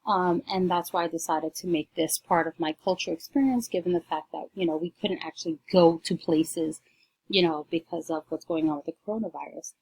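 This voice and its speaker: A woman, giving a class presentation at home with many ums and pauses; she is shy on camera. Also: lowish voice, darkish tone, breathy edge, dry recording, rough recording. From New York, United States. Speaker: student presenter.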